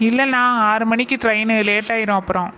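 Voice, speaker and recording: Female actor as polite cartoon mother, fairly high voice, family dialogue, faint background noise. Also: brisk, bright, forceful tone. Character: polite visiting younger sister and mother in a cartoon. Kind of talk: animated kids' story dialogue.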